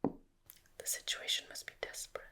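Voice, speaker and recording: A woman voicing a knowing, teasing forest witch healer in a soft ASMR roleplay whisper, coaxing with murmured questions. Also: normal speed.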